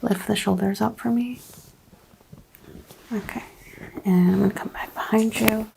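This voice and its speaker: A soft-spoken woman playing a chiropractor in an ASMR roleplay, hushed and often drifting into a whisper. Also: mid-range voice, fairly even intonation, measured pace, creaky. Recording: faint background noise.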